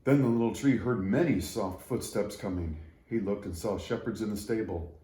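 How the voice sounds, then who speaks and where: A man's voice: lowish voice, normal speed, dark tone. A man reading a children's story aloud in a storytelling style. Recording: roomy echo.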